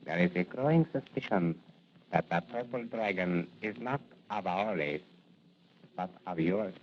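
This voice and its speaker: A courtly merchant in a lowish voice. He speaks solemnly and formally, in measured phrases, as in a 1940s radio play on a tinny, noisy recording. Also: animated. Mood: grave.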